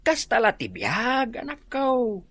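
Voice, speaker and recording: An actor with an Ilocano accent playing a gentle older father who counsels his daughter in a radio drama. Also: extremely high pitch, wildly swooping pitch, takes it slowly, no room sound, heavily acted. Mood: tender, advising.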